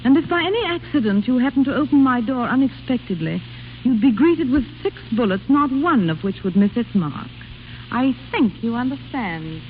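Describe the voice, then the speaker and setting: A woman's voice: on the high side, expressive, normal speed, narrow, tinny audio, natural voice. An actress playing a haughty, imperious noblewoman in a theatrical, commanding manner in a 1930s radio drama. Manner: theatrical, commanding, sharply questioning. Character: haughty, imperious exiled noblewoman, proud and easily offended. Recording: scratchy, thin old radio recording with hiss.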